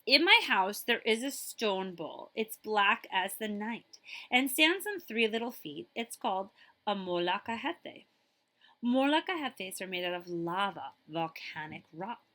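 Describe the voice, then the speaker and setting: A woman's voice: mid-range voice, lively intonation, measured pace, pushed and bright. A woman school librarian reading a children's picture book aloud expressively, with chatty asides to her listeners.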